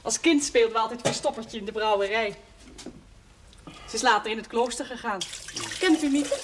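Nursing nun, played by an actress: high voice, kind but teasing, gently scolding, old noisy TV drama soundtrack. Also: female voice, normal speed, lightly acted. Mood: chatty, reminiscing. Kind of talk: naturalistic 1970s television drama acting.

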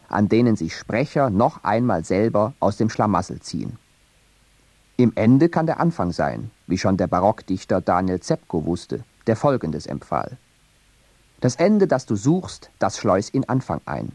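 A man reading a scripted radio essay on poetry in a measured, deliberate way. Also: fairly low pitch, a little mellow, no room sound. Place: radio studio.

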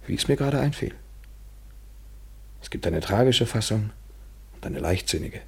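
An actor playing a cynical yet tender, evasive lover in a literary German radio play, speaking with measured irony and a husky voice. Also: male voice, low-pitched, mellow, exaggerated voice. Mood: sardonic. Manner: measured, ironic, articulate.

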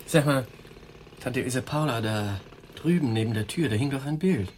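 An actor playing an anxious, polite young nephew in a German radio play, incredulous and exasperated. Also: male voice, mid-range voice, moderate pace, background noise, close to an everyday voice. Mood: alarmed. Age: young adult.

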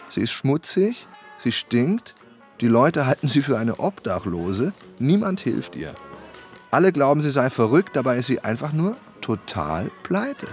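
An actor playing a wry, bitter storyteller in a radio drama monologue. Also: male voice, mid-range voice. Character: wry, bitter male storyteller portraying a battered city.

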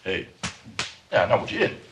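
A man's voice: measured pace, lo-fi sound, close to an everyday voice. An actor playing a fussy, flustered umbrella salesman in a comic radio play, stammering and repeating himself in overly polite patter. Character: fussy, flustered umbrella shop salesman, eager but clumsy. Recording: noisy old radio play recording.

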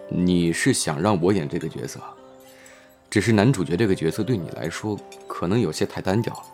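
An actor playing a gentle, reserved, self-doubting male film actor in a Chinese audio drama. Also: low voice, a little fast, faint music behind. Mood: hesitant, troubled.

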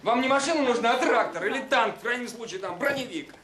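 High-pitched, grumbling driver. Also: male voice, lively intonation, quick pace, roomy sound, a loud crowd. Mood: exasperated, sarcastic. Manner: sarcastic, exasperated. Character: grumbling, sarcastic male driver of children's home. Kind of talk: Soviet radio drama acting.

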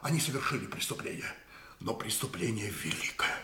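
An old musketeer captain in a radio drama, indignant and defiant before his king. His voice is bright and forceful and rings through an echoey studio. He declaims with bitter passion and very animated pitch. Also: a little fast. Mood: grave, earnest. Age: older.

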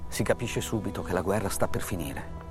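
A man delivers scripted documentary narration. His pitch sits somewhat low, and music is clearly heard behind the voice. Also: fairly quick.

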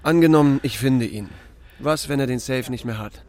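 An actor playing a laconic, wry small-town sheriff who narrates a radio drama in a gravelly, dry, unhurried voice. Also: male voice, medium pitch, a little mellow, close to an everyday voice. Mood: calm, wry. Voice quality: gravelly, creaky. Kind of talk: scripted first-person drama narration.

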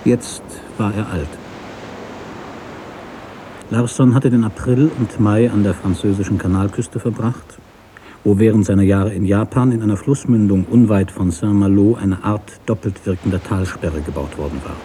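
Low, mellow male literary narrator. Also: normal speed, slight background noise. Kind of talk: literary scripted narration of a story.

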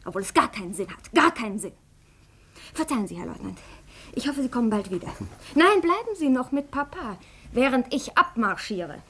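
An actress playing a proud, irritable and bitter young invalid in a radio drama, brusque and defiant in manner. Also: female voice, a little high, big pitch swings, moderate pace, a bit bright, a little hiss or hum. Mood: resentful, resigned.

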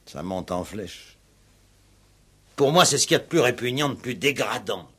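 An actor playing an indignant, determined village police commissioner in an emphatic, authoritative style of 1960s French radio drama. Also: male voice, medium pitch, lively intonation, normal speed, bright, forceful tone, slightly rough. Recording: old 1960s radio play recording.

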